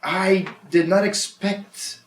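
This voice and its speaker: A man in his thirties from Serbia, a guest DJ talking casually in an interview, halting with fillers and restarts. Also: high-pitched, fairly even intonation. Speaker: guest DJ and producer. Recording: roomy, mic at a distance.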